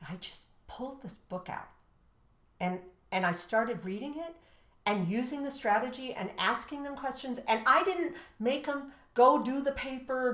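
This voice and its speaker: An American woman, a teacher co-hosting an education show, telling classroom stories and giving advice emphatically, acting out dialogue. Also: sits high. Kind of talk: animated storytelling and teaching advice. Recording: echoey room.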